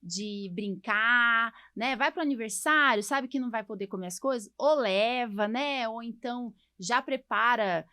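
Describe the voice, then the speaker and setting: Bright-voiced Brazilian woman hosting a podcast. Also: fairly high pitch, unhurried, few pauses, no echo, clean studio sound. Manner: chatty explainer, frequent tag questions. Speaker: podcast host, allergist doctor. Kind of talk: casual podcast conversation.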